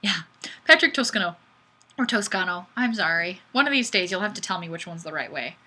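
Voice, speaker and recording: A woman hosting a casual live stream, chatting and reading comments in a goofy, animated, exclamatory way. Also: lowish voice, a little fast. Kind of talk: casual chat, reading viewer comments aloud.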